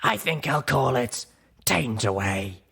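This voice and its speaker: Slow, raspy, cracked ghoul doctor. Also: male voice, mid-range voice, sing-song, fairly bright tone, voice pushed up, heavily acted. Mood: deluded, encouraging. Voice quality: raspy, gravelly. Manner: slow, muttering, rambling to himself. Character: cracked undead ghoul pony doctor, obsessive, muttering, deluded. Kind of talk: audiobook character voice.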